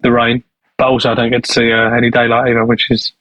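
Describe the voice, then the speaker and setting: A man's voice: medium pitch, monotone, fast talker, fairly bright tone, no echo, rough recording. Manchester producer guy chatting, lots of restarts and ums, mid voice, dry mic. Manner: rambling with many restarts and fillers. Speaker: studio owner and producer. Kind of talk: casual interview answers.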